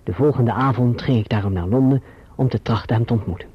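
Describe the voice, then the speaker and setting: An actor playing an earnest, courteous young gentleman who also narrates his own story in a Dutch radio play. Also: mid-range voice, fast, husky, close to an everyday voice. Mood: composed, reflective. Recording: muffled old radio recording.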